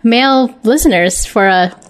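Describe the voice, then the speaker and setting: A woman co-hosting a podcast, chatting casually and jokingly as she presents gaming news. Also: on the high side. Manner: chatty, jokey, quick to laugh. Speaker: podcast co-host.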